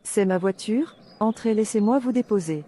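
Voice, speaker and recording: A woman voicing a pious, calm young woman in a cartoon drama, preachy and stilted. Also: mid-range voice, moderate pace, close to an everyday voice. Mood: friendly.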